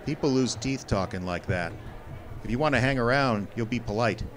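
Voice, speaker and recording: An actor playing a cynical, wisecracking tough private detective in a radio drama, wry and clipped. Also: male voice, mid-range voice, moderate pace, a little hiss or hum, lightly acted. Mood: tough, needling. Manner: hard-boiled, wry, clipped. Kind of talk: old-time radio drama acting.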